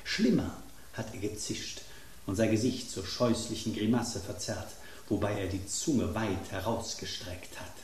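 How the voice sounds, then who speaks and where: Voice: low-pitched, measured pace, darkish tone. An actor voicing an aristocratic dessert spoon in a radio drama, dramatic and pompous in manner. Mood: conspiratorial. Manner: theatrical, pompous, quoting French poetry. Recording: roomy sound with faint noise.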